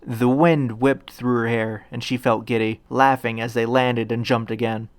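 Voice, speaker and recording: A man narrates a piece of fan fiction from a script. His voice sits at a medium pitch. The sound is dry, with hardly any room to it. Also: flat delivery, normal speed, no room sound.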